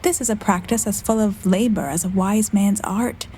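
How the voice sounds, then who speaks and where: A woman acts as a young gentlewoman in disguise as a page in a Shakespearean radio play. The voice sits at a middle pitch, polite and well articulated, with witty formality. Some background sound runs behind it. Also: steady tone, moderate pace, slight background noise, lightly acted. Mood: reflective. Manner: articulate, courteous, formal verse delivery. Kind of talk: Shakespearean audio play acting.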